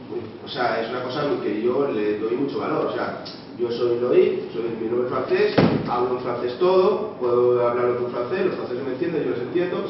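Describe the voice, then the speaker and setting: A man organizing a public debate, introducing the speakers in a rambling way, full of little tag questions. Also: mid-range voice. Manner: rambling, full of "¿no?" and "o sea" tags. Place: meeting room of a local association. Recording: echoey, muffled room recording from a distant mic with background noise.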